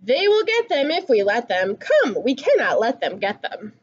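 A young adult woman reading a rhyming picture book aloud to children in an expressive, singsong way. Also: on the high side, normal speed, highs cut off. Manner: animated, singsong rhyming delivery. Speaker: children's story reader.

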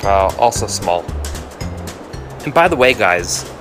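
A young man casually narrating his fishing trip, speaking quietly as he stalks fish by a lake. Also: sing-song, slow pace, music in the background. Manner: hushed and low while stalking fish. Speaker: fishing vlogger. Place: outdoors by lake flats, partly in a car. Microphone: action camera on head or in hand.

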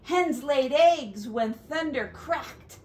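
Very animated, slow-paced woman reading a storybook. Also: high voice. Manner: expressive, dramatic, drawn-out. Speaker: teacher reading aloud to children. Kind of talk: animated storybook read-aloud with chatty asides.

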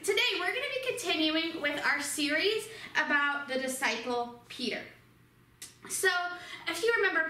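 Young woman, kids' Bible teacher, high bright voice, roomy studio echo, a bit off-mic. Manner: animated storytelling, addressing children directly. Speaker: children's church teacher. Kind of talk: Bible lesson for kids. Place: small studio with red backdrop. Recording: echoey room, voice from some distance.

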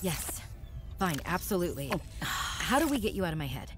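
An actress playing a wry, sardonic human explorer in a video game, with a conversational, sarcastic manner. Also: female voice, fairly low pitch, very animated, moderate pace, over music. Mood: exasperated. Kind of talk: scripted video game dialogue.

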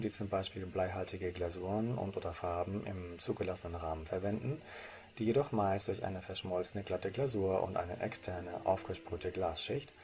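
A man's voice: deep voice, a little mellow. A man from Germany presenting, reading scripted narration for a slide presentation in a formal way. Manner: formal, read-out delivery.

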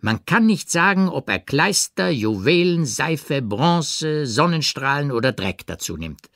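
A man's voice: on the high side, big pitch swings, unhurried, a bit bright, no room sound, studio quality, pitched above the performer's own voice, heavily acted. A man voicing an exuberant, boastful painter in an audiobook, with emphatic, theatrical delivery. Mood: animated, boastful. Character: exuberant, showy male painter holding forth at dinner. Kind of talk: audiobook character reading.

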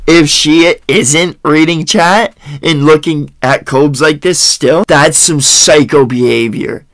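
A man's voice: on the high side, slow, a bit bright, no echo, studio quality. Mocking stuffy-nosed man commentating. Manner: mocking, laughs often. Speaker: commentary host. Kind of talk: reaction commentary over a stream clip. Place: voice-over, not the pictured room.

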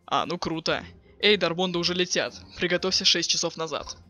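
A man voicing a cool, sarcastic teenage boy with offhand deadpan delivery in a webcomic dub. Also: high voice, a little fast, faint music behind. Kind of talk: fan dub of a webcomic chat.

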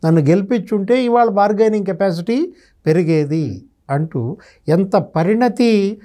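A man's voice: sits high, expressive, measured pace, warm tone. A man, giving conversational political commentary as a guest analyst, quoting others and posing rhetorical questions. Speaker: senior journalist, guest analyst. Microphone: lapel mic.

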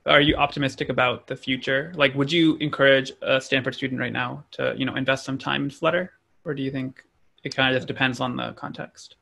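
Gravelly, bright-voiced young male host. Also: medium pitch, fairly even intonation, brisk. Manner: inquisitive, poses questions. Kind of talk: moderating a panel.

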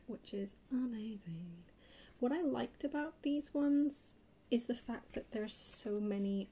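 Soft spoken asmr lady showing her haul, croaky whispery voice, bit of hiss and crinkling behind. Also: medium pitch. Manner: hushed, often dropping into whispers. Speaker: haul video host. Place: indoors at a table. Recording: noisy close home recording.

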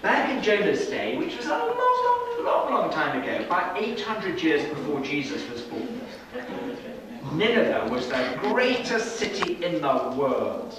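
Animated storytelling man in an echoey room. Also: high voice, a loud crowd. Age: middle-aged. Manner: slow, animated, with pauses and questions to the children. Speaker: storyteller for a children's group. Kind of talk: interactive Bible storytelling to young children. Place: children's classroom with seated young audience. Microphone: distant camera at back of room. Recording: echoey, noisy room recorded from far off.

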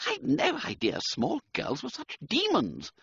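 A man's voice: extremely high pitch, huge pitch swings, measured pace, gravelly, bright, forceful tone, a little hiss or hum, pitched above the performer's own voice, exaggerated voice. A man doing a flustered, stammering young toff. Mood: nervous jolly. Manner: stammering, hesitant, with upper-class slang. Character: flustered young English gentleman, genial and dithery. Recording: dull, dry, muffled sound.

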